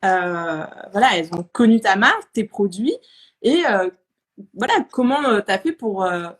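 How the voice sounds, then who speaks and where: A woman's voice: medium pitch, expressive, normal speed. A woman hosting a casual live interview, chatting informally and often searching for her words as she phrases questions. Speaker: interview host.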